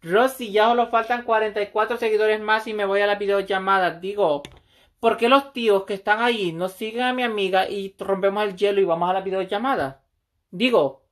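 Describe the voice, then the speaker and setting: A woman's voice: mid-range voice, steady tone, moderate pace. A woman, chatting casually and flirtatiously on a livestream from a small room, with a phone held close. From El Salvador. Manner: brash, flirty, swears freely. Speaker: livestream host. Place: small room indoors, seated on a couch.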